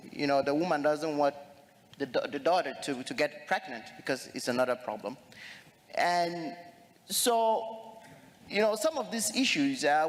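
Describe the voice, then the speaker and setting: Young guy from cameroon on a panel, kinda high voice, lots of uh and um, big echoey hall. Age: young adult. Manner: earnest, hesitant with many fillers. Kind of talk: conference panel talk. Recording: long reverb of a large hall.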